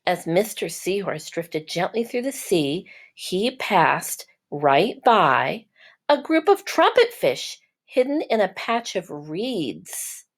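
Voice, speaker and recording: A woman with a slightly low voice reads a children's story as a teacher would. Her pace is slow and measured, and she addresses young listeners in a clear explanatory manner. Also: sing-song. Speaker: teacher reading aloud.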